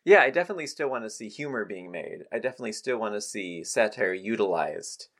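A man's voice: on the low side, lively intonation, fast talker, a bit bright. An American man hosting a podcast, talking in a conversational way. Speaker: podcast host. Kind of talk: conversational interviewing.

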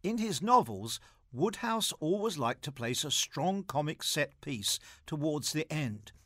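A man reads a scripted literary piece as a programme presenter. His voice is slightly higher than most men's and very animated in pitch, recorded dry with hardly any room sound. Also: a little slow, no room sound.

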